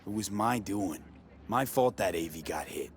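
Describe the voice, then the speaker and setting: Easygoing mercenary guy, low, lively inflection. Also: moderate pace. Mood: earnest. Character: male mercenary, easygoing and direct.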